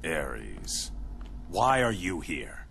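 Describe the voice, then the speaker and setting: A man's voice: extremely low pitch, wildly swooping pitch, very slow, gravelly, bright, forceful tone, slight background noise, exaggerated voice. A man playing a proud, commanding undersea warrior king in a video game cutscene, speaking tersely and imperiously.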